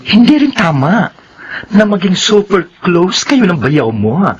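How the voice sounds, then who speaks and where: A woman's voice: on the low side, sing-song, measured pace, slightly rough, dull audio, full character voice. A woman playing Digna, a chatty, blunt office friend in a radio drama who gives frank advice. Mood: gossipy. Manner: gossipy, swooping, blunt.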